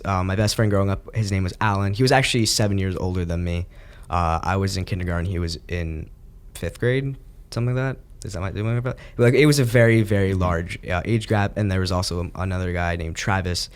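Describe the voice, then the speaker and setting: A young man from the United States, a podcast guest, telling his story in an earnest, reflective and halting way. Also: on the low side, clear, resonant tone. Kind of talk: casual interview.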